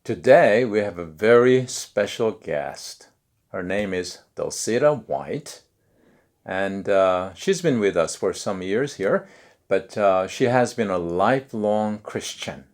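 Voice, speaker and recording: A pastor hosts a church interview. His voice is very low, and he keeps the talk going with frequent short affirmations. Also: expressive, slow pace. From Korea.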